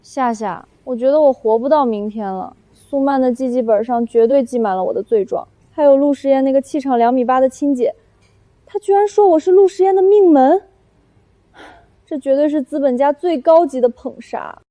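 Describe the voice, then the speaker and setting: High female voice, very soft dark tone, dry sound, flustered young worker, plaintive. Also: animated, normal speed, no echo. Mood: panicked complaining. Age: young adult. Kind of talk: animated romance drama acting.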